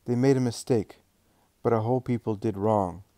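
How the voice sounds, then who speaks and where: A man voicing a gentle elderly woman. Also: fairly low pitch, fairly even intonation, moderate pace, no room sound, studio quality, natural voice.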